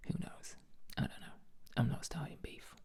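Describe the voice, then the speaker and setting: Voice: normal speed, dark tone. A speaker, whispering an ASMR commentary with dry, jokey asides while showing paintings.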